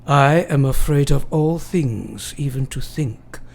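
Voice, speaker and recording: An actor playing a grave, devoted elderly foreign professor in a radio drama, speaking solemnly and deliberately in broken grammar. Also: male voice, medium pitch, vocal fry. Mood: solemn, fearful. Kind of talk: radio drama acting, dramatic journal narration.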